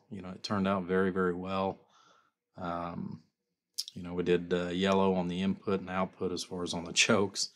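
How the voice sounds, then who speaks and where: A man's voice: deep voice, a bit bright. A man giving a casual, rambling workbench demonstration in a folksy, informal way, like a builder showing his work. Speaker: custom amplifier builder presenting his work.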